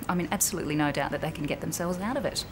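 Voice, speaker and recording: Woman acting a lowish-voiced bank officer, noisy recording. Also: rapid-fire, close to an everyday voice. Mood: businesslike, reassuring. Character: composed, firm but sympathetic female bank officer debriefing a colleague. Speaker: actor in a corporate training drama. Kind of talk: naturalistic acted workplace dialogue. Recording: clearly noisy location sound with room ambience.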